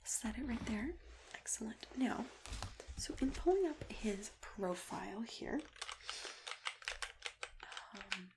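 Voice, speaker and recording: A woman doing a soft-spoken, often whispered ASMR roleplay as a kindly, gently chatty matchmaker who reassures her client. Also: on the high side, expressive, takes it slowly, long pauses. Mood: fond. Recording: clearly noisy, keyboard typing and clicks.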